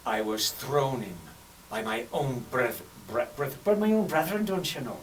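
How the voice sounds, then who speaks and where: A man's voice: medium pitch, big pitch swings, a little slow, far from the mic. A male voice actor runs the same line again and again, stumbling and muttering to himself. His voice swings up and down expressively. He sits a little back from the mic, with faint hiss behind. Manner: repeating and stumbling over lines, exasperated. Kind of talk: rehearsing lines in a recording session.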